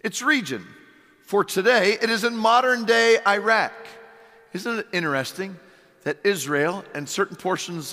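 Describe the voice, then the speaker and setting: Hoarse pastor preaching in a reverberant hall. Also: male voice, high-pitched, bright, forceful tone. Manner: earnest preaching with rhetorical questions and fillers. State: hoarse, losing his voice. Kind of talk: sermon. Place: church sanctuary.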